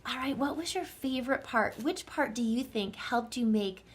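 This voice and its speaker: A woman reading a children's story aloud with dramatic, deliberate phrasing and questions put to her listeners. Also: on the high side, expressive, slightly rough. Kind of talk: expressive children's read-aloud with asides to the listeners.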